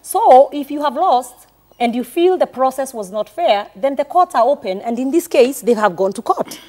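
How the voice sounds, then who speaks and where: A Kenyan woman with a somewhat high voice argues her case on a panel. She speaks in a measured, reasoning way and holds firm. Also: lively intonation, dark tone. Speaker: senator, panel guest. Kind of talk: debate rebuttal.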